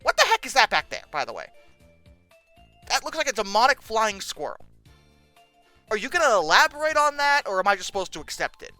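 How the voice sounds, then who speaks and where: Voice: medium pitch, sing-song, fairly quick, long pauses, pushed and bright, no room sound, soft background music. A commentator reacting aloud in an incredulous, sarcastic, exasperated way, with emphatic delivery. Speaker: video host. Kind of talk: reacting commentary, reading old text aloud and mocking it.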